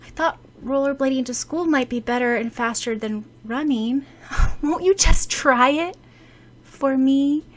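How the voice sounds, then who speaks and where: A woman voicing a cheerful, caring and excitable teenage schoolgirl in an expressive audiobook reading. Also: sits high, a little slow, pitched above the performer's own voice. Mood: pleading. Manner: exclaiming, expressive.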